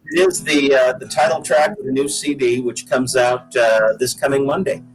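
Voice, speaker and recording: A man's voice: mid-range voice, fairly even intonation, normal speed, faint music behind, poor audio. A middle-aged American man from the Pacific Northwest, chatting casually as a singer-songwriter guest on a podcast.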